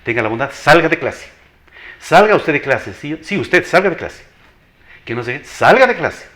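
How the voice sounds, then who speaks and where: A man's voice: fairly high pitch, big pitch swings, moderate pace, fairly bright tone. An older man with an Ecuadorian accent and a strong, announcer-like voice, telling anecdotes as an interview guest, emphatically, repeating phrases and acting out dialogue. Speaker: interview guest, veteran teacher.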